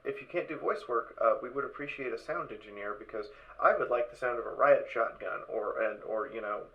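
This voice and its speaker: A man's voice: mid-range voice, brisk. A man hosting an online dramatic reading, chatting casually and somewhat hesitantly as he makes rambling announcements to the group. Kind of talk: casual livestream chat after a show. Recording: muffled, noisy online voice-chat stream.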